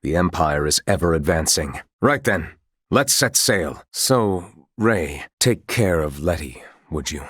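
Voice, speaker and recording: A man's voice: deep voice, lively intonation, a little slow, a bit bright, breathy edge, dry recording, clean studio sound, exaggerated voice. A man playing a composed, proud knight commander of royal blood in a video game, speaking in formal, archaic, courtly phrases. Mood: composed, commanding.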